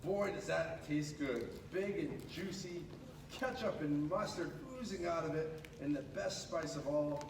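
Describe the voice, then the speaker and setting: A man reading a children's picture book aloud in an animated, dramatic way, pausing and stretching words for emphasis. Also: fairly high pitch. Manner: dramatic, with pauses and drawn-out emphasis. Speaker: radio host reading a storybook aloud. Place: echoey room at a school. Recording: echoey room, distant mic, clear background noise.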